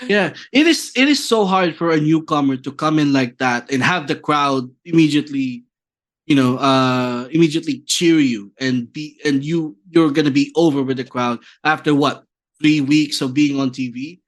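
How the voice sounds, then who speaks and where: Filipino man making his case, slightly high. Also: normal speed. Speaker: podcast co-host. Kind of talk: casual chat mixing English and Tagalog.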